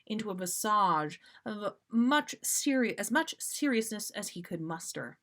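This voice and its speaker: A woman's voice: mid-range voice, big pitch swings, a little slow, fairly bright tone. A woman reading a story aloud expressively as the host of a story session. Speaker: podcast host reading listener fan fiction.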